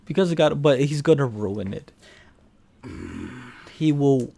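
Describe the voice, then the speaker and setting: A man's voice: on the high side, expressive, a little slow, creaky, dark tone, slight background noise. Opinionated man chatting about films and games. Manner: opinionated, blunt, profane. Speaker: podcast co-host reviewing films. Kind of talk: casual film and video game chat.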